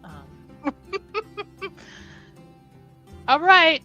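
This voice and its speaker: A woman's voice: very high-pitched, very slow, muffled recording, over music. A woman playing in an online tabletop roleplaying game, laughing often and thinking aloud with hesitant questions. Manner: giggly, hesitant, talks through ideas. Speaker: player.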